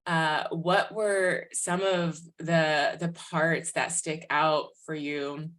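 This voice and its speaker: Woman podcast host, low register, very unhurried delivery, earnest and reflective. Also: fairly even intonation, a bit bright. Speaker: podcast host interviewing a guest. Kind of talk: reflective interview question.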